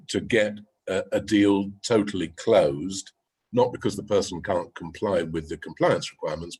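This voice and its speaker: A man speaking on a webinar panel as a real estate agent, measured, often checking in with "yeah?". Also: low-pitched, a little mellow, slightly rough. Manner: measured, with frequent "yeah?" tags. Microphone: video call.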